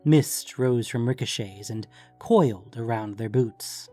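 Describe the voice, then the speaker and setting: Measured male story narrator, medium pitch. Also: mellow, soft background music. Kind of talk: scripted fiction narration.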